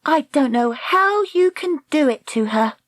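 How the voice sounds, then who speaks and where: A woman's voice: high voice, sing-song, measured pace, dry recording, voice pushed up, exaggerated voice. A woman reader voicing a bold, proud young girl in a storybook, speaking assertively and challengingly. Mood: accusing.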